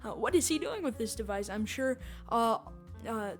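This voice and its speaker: High-voiced woman giving casual commentary. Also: lively intonation, normal speed, faint music behind. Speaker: podcast host reviewing an audio drama.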